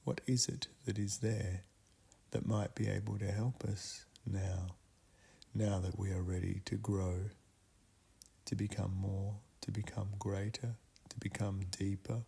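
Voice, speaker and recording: Slow, gravelly man guiding a meditation. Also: fairly low pitch, level tone, mellow. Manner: solemn, deliberate, with long pauses between short phrases. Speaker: guided meditation guide. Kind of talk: slow guided meditation ritual narration.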